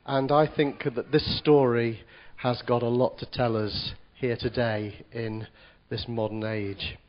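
A man gives a sermon, his voice husky as he gets over a cold. He talks in a chatty, self-deprecating way, often restarting his words, at an average pitch. The church recording sounds a little dull. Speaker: visiting preacher.

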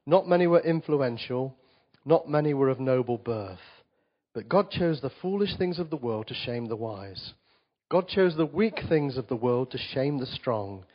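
Slightly croaky preacher. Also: male voice, medium pitch. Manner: chatty, asks the congregation questions, reads scripture aloud. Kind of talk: conversational sermon to a congregation. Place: church service before a congregation. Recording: dull lo-fi recording.

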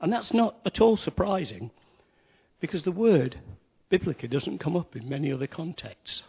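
A man preaches in a relaxed, conversational way, leaning on key words and dropping in wry asides. His voice sits a little high and has a husky edge. The recording is dull and lo-fi, as if taped in a church hall. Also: normal speed. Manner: chatty, wry asides, emphatic stresses. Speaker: lay preacher. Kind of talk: conversational sermon.

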